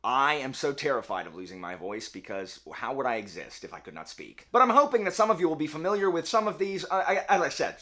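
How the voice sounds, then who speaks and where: Male booktuber host, slightly hoarse, talks in loose rambling runs with an animated, swinging pitch. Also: fast talker, few pauses. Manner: chatty, rambling, self-correcting. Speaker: book channel host. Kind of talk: casual book vlog.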